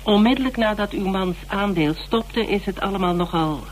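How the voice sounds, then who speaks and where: An actress playing a measured, cautious investigator in a radio play, speaking deliberately with hesitations. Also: female voice, mid-range voice, lightly acted. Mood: formal, probing. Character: questioning investigator, measured and cautious, sometimes sardonic. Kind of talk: naturalistic radio play acting. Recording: noisy old radio broadcast with hum.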